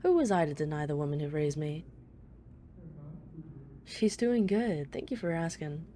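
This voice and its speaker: Woman playing a low, mellow, teasing female mafia boss. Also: very animated, moderate pace, a little hiss or hum. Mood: smug, charming. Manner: playful, smug, flirtatious banter. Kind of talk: ASMR roleplay, one-sided dialogue.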